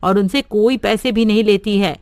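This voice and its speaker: A woman narrating a simple cartoon story in a steady storybook manner. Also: medium pitch, moderate pace, soft background music.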